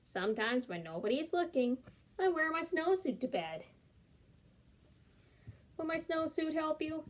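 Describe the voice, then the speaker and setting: A woman's voice: high voice, big pitch swings, measured pace, pauses often, voice pushed up. A woman reading aloud, voicing a big storybook polar bear afraid of the cold, with a confiding manner.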